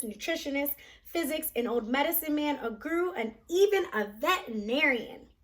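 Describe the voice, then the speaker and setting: A woman with a high voice reads a children's storybook aloud. Her pitch swings in a lively way, and she leans on dramatic words to bring the story to young listeners. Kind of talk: expressive scripted storybook narration.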